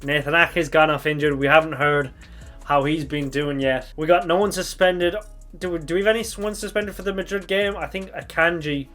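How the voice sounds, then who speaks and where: A young man with a slightly croaky voice from shouting, chatting casually through a football reaction vlog, thinking aloud and correcting himself as he goes. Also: a little high, fairly even intonation, moderate pace, soft background music. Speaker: football fan channel host.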